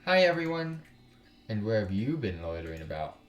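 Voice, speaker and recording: A man's voice: on the low side, sing-song, moderate pace, highs cut off. A man voicing a chatty, cheerful young woman from a visual novel, chattering and gushing. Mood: cheerful greeting. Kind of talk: visual novel character read-aloud. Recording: roomy echo, distant mic, music behind.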